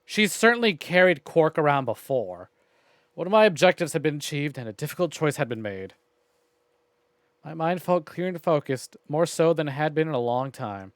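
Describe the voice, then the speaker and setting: Dry, higher-pitched male game streamer. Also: expressive, moderate pace, no echo. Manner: wry, joking, conversational. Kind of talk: live game stream, reading aloud and casual commentary. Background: faint game music.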